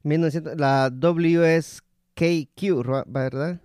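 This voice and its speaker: A man's voice: fairly high pitch, steady tone, takes it slowly, a little mellow, dry recording, clean studio sound. A man interviewing a guest, chiming in with brief affirmations and follow-up questions. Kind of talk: casual interview.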